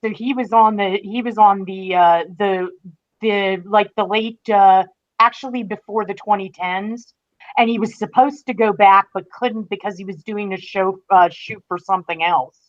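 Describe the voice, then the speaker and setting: A woman's voice: mid-range voice, fairly even intonation, normal speed. Enthusiastic woman, slightly dull lo-fi audio. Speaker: podcast guest, entertainment writer. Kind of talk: casual chat.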